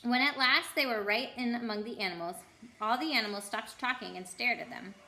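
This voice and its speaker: A woman storyteller reads a children's book aloud with expression. Her voice is fairly high and bright, and the room adds a clear echo. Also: fairly quick, a little hiss or hum.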